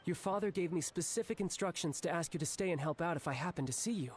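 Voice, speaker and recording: A man's voice: fairly high pitch, fast talker, slight background noise, lightly acted. A man voicing a deferential, earnest guild servant in a video game, anxious and eager to please. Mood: flustered. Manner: respectful, earnest.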